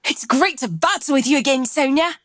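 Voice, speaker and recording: A woman's voice: sits high, fairly quick, a bit bright. A woman playing a poised, confident young gym leader in a video game, elegant and playful.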